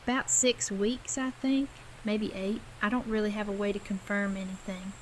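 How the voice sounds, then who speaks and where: Woman acting as a caring practical young woman in an audio drama, plain talk, middle pitch, bit of hiss. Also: fairly even intonation, moderate pace, lightly acted, rough recording. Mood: confiding. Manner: informal, plain-spoken.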